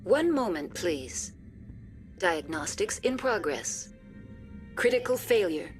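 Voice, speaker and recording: A woman playing a calm, formal facility computer intelligence in a video game, giving measured, impersonal system reports. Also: on the low side, soft background music. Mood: calm, matter-of-fact.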